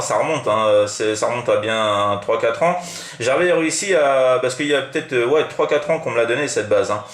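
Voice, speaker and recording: A French man talks casually as a hobby radio operator, with a middling pitch. He rambles and repeats himself, feeling for his words. The room around him adds a clear echo. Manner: rambling, hesitant, repetitive. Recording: roomy, echoing room sound.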